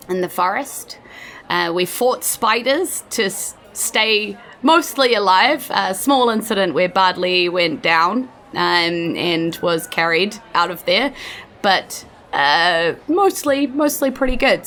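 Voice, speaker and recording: A woman's voice: mid-range voice, a bit bright. A woman with a New Zealand accent, hosting and narrating a tabletop game in a playful, informal way. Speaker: dungeon master, host. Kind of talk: chatty game narration, recapping a tabletop adventure.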